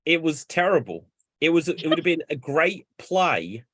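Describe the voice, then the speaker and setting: A man's voice: fairly high pitch, normal speed, breathy edge, no echo. Young guy chatting, bouncy voice all over the place, dry sound, acts stuff out. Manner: animated storyteller, acts out bits. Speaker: panelist. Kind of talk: casual chat.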